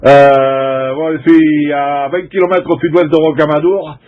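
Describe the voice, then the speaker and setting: A middle-aged French man, a CB radio operator, chatting casually in a halting, colloquial way and repeating his phrases. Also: fairly high pitch, steady tone, dull audio. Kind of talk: casual CB radio contact chat.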